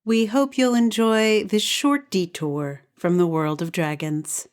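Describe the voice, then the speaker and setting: A woman's voice: mid-range voice, steady tone, slow pace. A woman presenting a show with a scripted introduction to an audio drama.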